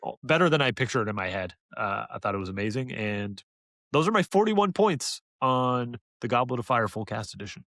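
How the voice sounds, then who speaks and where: A man's voice: mid-range voice, expressive, a little fast, fairly bright tone, no room sound, clean studio sound. Casual male podcast host. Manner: informal, jokey banter.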